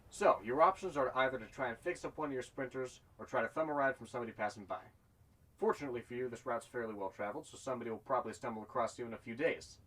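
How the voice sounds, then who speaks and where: An actor playing a cool, self-reliant gunslinger drifter in a sci-fi western, wry and matter-of-fact, with music underneath. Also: male voice, mid-range voice, rapid-fire, fairly bright tone, natural voice, rough recording. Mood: calm, matter-of-fact. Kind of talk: western-flavoured sci-fi drama acting.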